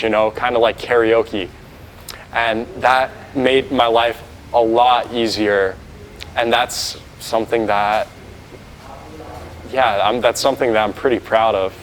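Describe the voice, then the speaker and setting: An earnest teenage boy talking casually and reflectively, often stopping to rephrase with "like" and "kind of". His voice sits at an ordinary middle pitch for a young man. A faint hiss of background noise runs under him. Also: rough recording. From United States. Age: teens. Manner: earnest, reflective, with many fillers and restarts. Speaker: novice monk sharing his experience. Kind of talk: casual talk to camera.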